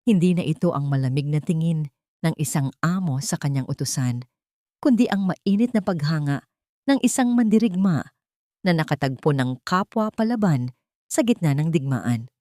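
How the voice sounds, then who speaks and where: A woman's voice: low-pitched, expressive, normal speed, mellow, no room sound, studio quality. A woman reading scripted dramatic story narration for an audio drama.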